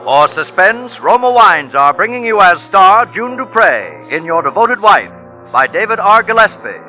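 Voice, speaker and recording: A man formally introducing a program as a 1940s radio announcer, with dramatic, measured delivery. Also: high voice, very animated, pushed and bright, poor audio. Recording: vintage 1940s radio recording. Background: music.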